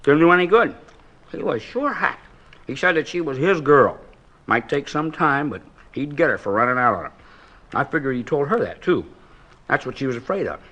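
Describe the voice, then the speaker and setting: A man's voice: mid-range voice, lively intonation, moderate pace, bright, forceful tone. An American man playing a talkative, blunt working-class witness in a 1950s radio police drama, rambling in a folksy, repetitive way. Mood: earnest, grim. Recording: dull, lo-fi 1950s radio recording.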